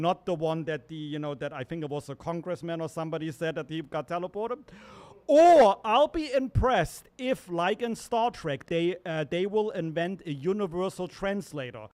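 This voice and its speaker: A man with a German accent, performing a deadpan stand-up comedy set at an open mic. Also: high-pitched, moderate pace. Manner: deadpan wordplay, self-correcting asides.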